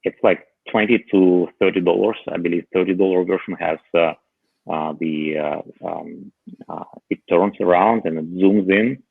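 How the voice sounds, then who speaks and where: A low-voiced man talks through his home gadgets in a loose, halting way, full of "uh"s and restarts. His voice comes over a thin, phone-like line with no room sound around it. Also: fairly even intonation, creaky, no echo. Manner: halting, many fillers and restarts. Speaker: podcast panelist. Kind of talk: casual tech chat.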